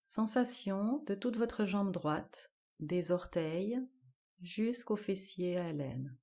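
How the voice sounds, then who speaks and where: Slow, mellow woman guiding relaxation. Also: mid-range voice. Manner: calm, measured instruction with spaced-out short phrases. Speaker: yoga nidra guide. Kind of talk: guided relaxation meditation.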